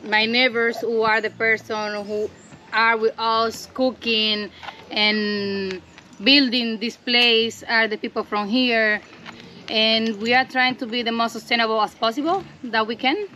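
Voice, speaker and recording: A woman's voice: on the high side, steady tone, unhurried, bright, forceful tone, a little hiss or hum. A woman from the Dominican Republic, a native Spanish speaker with a Dominican accent, earnestly explaining her cacao farm in simple English. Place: outdoors on a farm.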